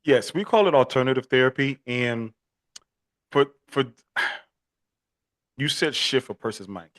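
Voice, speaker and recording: A man's voice: medium pitch, expressive, slow pace, fairly bright tone, no room sound, studio quality. A man from Miami speaking as a podcast guest on equine therapy, earnest and prone to repeating words as he starts a thought. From Miami, Florida. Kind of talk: casual interview talk.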